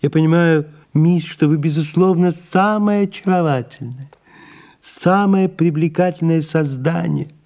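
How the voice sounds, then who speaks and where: An actor playing a fussy, devoted, exasperated male secretary in an audio drama, speaking formally and a little haltingly. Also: a little high, darkish tone. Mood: stiff, reluctant. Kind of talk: audio drama dialogue and stage directions.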